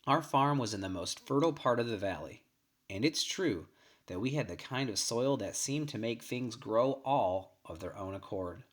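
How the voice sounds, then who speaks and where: Male narrator, mid-range pitch, reading literary first-person fiction aloud. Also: expressive, normal speed. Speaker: story reader. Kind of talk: scripted narration of a short story, first person.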